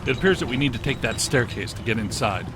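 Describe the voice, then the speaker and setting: Game role: male actor as cerebral scientist, earnest, technical and precise, medium pitch, over clear ambient noise. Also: animated, quick pace, lightly acted. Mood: businesslike. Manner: matter-of-fact, technical, precise. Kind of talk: video game voice acting. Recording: loud ambient and vehicle noise behind.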